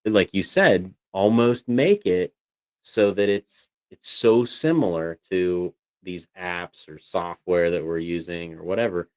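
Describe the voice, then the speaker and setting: A man's voice: low voice, slow pace, darkish tone, dry recording. A man chatting casually as a livestream co-host, talking in a rambling way with lots of "you know"s. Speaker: co-host of a voice tech show.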